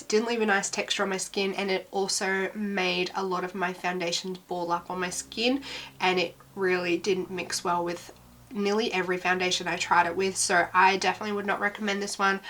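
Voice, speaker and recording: A woman's voice: mid-range voice, fairly bright tone. Young woman doing a casual beauty review, bit of a cough still, voice sounds a little off, pretty blunt about what she hates. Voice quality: voice sounds slightly weird. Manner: chatty, blunt opinions on products. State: recovering from illness, slight cough. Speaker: beauty vlogger.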